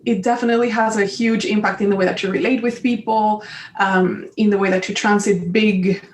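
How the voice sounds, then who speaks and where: A woman's voice: medium pitch, fairly even intonation, brisk, a little hiss or hum. A woman with a Colombian Spanish accent, a native Spanish speaker, chatting enthusiastically as a podcast guest and laughing often. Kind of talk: casual interview chat. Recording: echoey room, far from mic.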